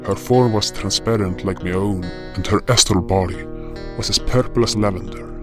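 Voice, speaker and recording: A solemn guardian spirit voiced by a man tells his fantasy story in a fairly low voice. The narration is measured and dutiful, with plucked guitar music beneath it. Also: natural voice. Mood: solemn, reflective. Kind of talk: first-person scripted fantasy narration.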